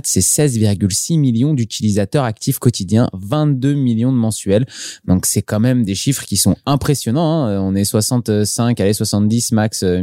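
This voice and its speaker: A man's voice: on the low side, warm tone, no echo, studio quality. A man co-hosting a marketing podcast, chatting casually, with many hesitation fillers as he lists statistics. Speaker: podcast co-host.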